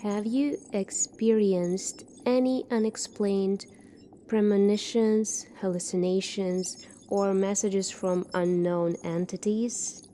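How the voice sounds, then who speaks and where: A soft-spoken woman doing an ASMR roleplay as a calm military doctor, asking questions in a measured way. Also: mid-range voice, slight background noise. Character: calm seasoned future military doctor examining a space recruit.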